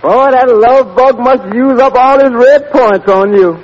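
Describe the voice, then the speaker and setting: Muffled old radio, wry high-voiced waiter. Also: male voice, a little slow. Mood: teasing. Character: dry-witted waiter needling his boss. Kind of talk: 1940s radio comedy acting. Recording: muffled 1940s radio with faint noise.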